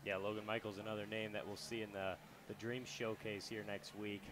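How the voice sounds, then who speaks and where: A young adult man doing casual radio baseball commentary and play-by-play from a ballpark booth. He talks in a relaxed, chatty way with loose fillers. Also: on the low side, fairly quick, a crowd in the background.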